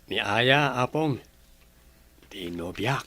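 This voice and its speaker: Young Ilocano grandson, polite, earnest, mid-pitched voice, radio drama. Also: male voice, lively intonation, slow, bright, forceful tone, breathy edge, no echo, exaggerated voice. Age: young adult. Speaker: grandson and boyfriend character.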